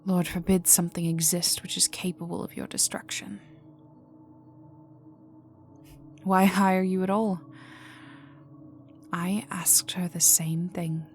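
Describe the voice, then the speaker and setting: A woman playing a cold, haughty vampire aristocrat in a dark ASMR roleplay, condescending and teasing, often dropping to a whisper. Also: on the low side, level tone, measured pace. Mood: disdainful. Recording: voice over dark ambient music. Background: dark atmospheric music with resonant tones.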